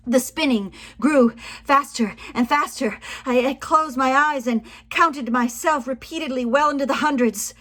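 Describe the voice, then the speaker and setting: A woman's voice: high voice, a little slow. A woman reading a first-person story aloud in a dramatic, suspenseful and theatrical manner. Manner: suspenseful, theatrical, swinging from hushed to forceful. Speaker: reader of a written memoir.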